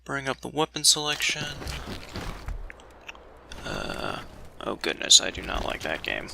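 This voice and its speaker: A man's voice: mid-range voice, wildly swooping pitch, a little slow, gravelly. A man giving casual, rambling commentary as he records a video game let's play. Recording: faint background noise.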